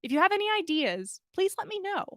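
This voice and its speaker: Woman reading a story out loud for a podcast, high voice, really animated. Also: brisk, dry recording, studio quality. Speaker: podcast host reading fan fiction.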